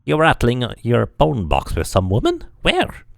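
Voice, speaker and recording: An excitable skull sidekick voiced by a man chatters in slangy, incredulous bursts. The pitch leaps around wildly, a wisecracking game character heard dry and close. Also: normal speed, gravelly, no echo. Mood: incredulous, excited. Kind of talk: amateur voicing of a game character.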